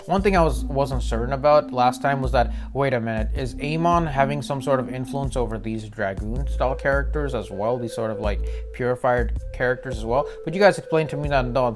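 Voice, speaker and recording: A man giving informal, rambling commentary as a reaction video host, often searching for words. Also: medium pitch. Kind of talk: casual commentary on game cinematics.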